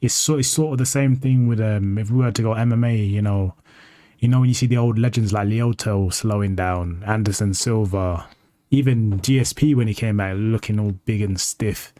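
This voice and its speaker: Co-host, low easy voice, relaxed blunt takes, dry mic. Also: male voice, moderate pace, dry recording. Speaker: podcast co-host. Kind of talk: casual chat.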